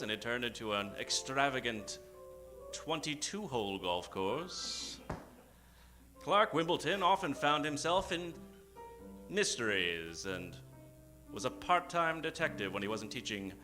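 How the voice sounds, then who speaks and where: A man hosting a live old-time radio show as a grandiose, theatrical announcer with dramatic pauses. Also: medium pitch. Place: theater stage, before an audience. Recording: live stage show with music behind. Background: music.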